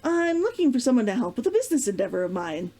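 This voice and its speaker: A woman reads a wry young heroine from a storybook, talking informally with someone she knows well. Her voice is high and mellow, with very lively intonation. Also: quick pace. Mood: businesslike. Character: young woman, wry, familiar with an old lover.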